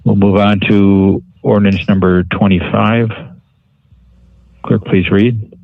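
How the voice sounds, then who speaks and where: A man's voice: lowish voice, level tone, takes it slowly, creaky, no room sound, phone-quality sound. A man chairing a government board meeting, calling for motions and votes in formulaic parliamentary procedure.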